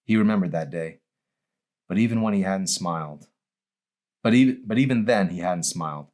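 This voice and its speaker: A man's voice: low voice, normal speed, a little mellow. A man reading his own novel aloud as a dramatic audiobook narration.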